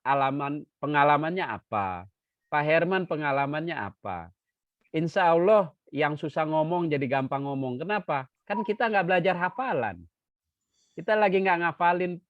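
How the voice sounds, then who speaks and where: A man giving an online seminar talk as a trainer, lively and joking, sometimes acting out quoted dialogue. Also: fairly high pitch, no room sound. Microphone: video call. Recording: dry, dull lo-fi video call audio.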